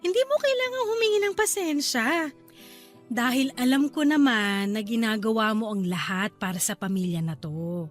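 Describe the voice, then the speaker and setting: Tender young wife and mother in radio drama, high-pitched, chatty and reassuring, music underneath. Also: animated, moderate pace. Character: affectionate young wife and new mother, apologetic, content. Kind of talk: Tagalog radio drama acting.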